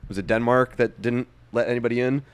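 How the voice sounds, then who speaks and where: A man's voice: medium pitch, steady tone, quick pace, smooth, no room sound. A man co-hosting a podcast in loose casual chat, arguing his points with lots of fillers. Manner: loose, filler-heavy, argumentative. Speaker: podcast co-host.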